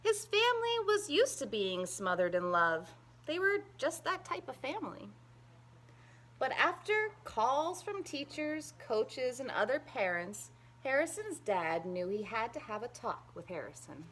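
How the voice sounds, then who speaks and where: Very animated woman reading a children's story. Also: high-pitched, slight background noise. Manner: expressive, emphatic storytelling with drawn-out phrases. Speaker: read-aloud storyteller. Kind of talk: children's picture book read-aloud.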